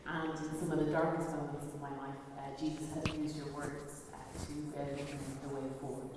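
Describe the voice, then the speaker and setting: A woman's voice: deep voice, flat delivery, a little fast, background noise. A woman in the audience asking a question, grateful and hesitant, with filler sounds. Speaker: audience member. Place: large church hall. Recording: distant, echoey church room.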